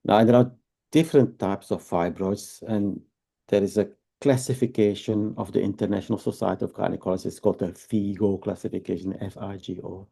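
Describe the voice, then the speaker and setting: A man's voice: fairly low pitch, steady tone, brisk, creaky, warm tone, slightly rough. A man in his fifties from Belgium with a Belgian accent, a fertility doctor explaining things patiently, step by step, with tag questions. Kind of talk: explanatory interview talk.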